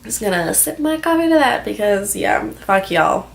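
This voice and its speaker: A woman's voice: medium pitch, sing-song, moderate pace, gravelly. Candid, sweary young woman vlogging. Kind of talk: casual rambling personal vlog, chatty storytelling.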